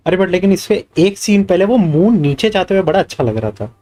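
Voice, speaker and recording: Very fast-talking Indian man streaming. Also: high-pitched, mellow. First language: Hindi. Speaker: game streamer. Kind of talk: casual live gameplay commentary. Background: game music.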